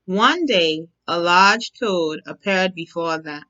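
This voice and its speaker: A woman narrating a children's bedtime story as a storyteller, deliberate and expressive, on a slightly dull recording. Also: fairly low pitch. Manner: measured, deliberate, expressive reading. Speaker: storyteller, teacher.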